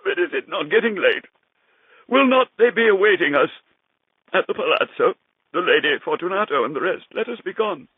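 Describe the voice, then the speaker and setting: Through a narrow, radio-like band comes a doomed nobleman in a gothic tale. His high, rough voice is unsteady and frantic, full of strained mirth and desperation. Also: expressive, brisk, dry recording. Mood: desperate, pleading. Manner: breaks into strained laughter. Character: drunken nobleman turned terrified, hysterically laughing victim. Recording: band-limited vintage radio-like sound.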